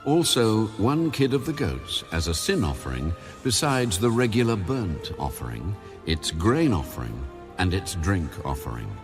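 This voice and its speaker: A man giving a measured, formal and solemn scripted narration of scripture. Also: low voice, big pitch swings. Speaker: audio Bible narrator. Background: music.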